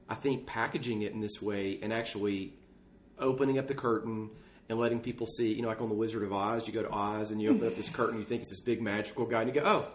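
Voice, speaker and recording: A man speaking as a podcast co-host, chatting casually and off the cuff with folksy, loose phrasing. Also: fairly low pitch. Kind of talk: casual unscripted podcast chat. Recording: clear background noise, ambience.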